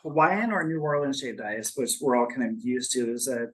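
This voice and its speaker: Man from Seattle, mid-range voice, easygoing food vendor pitching to a city board. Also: moderate pace, a crowd in the background, rough recording. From Seattle, USA. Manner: relaxed, explanatory, with "um" fillers. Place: city board meeting room.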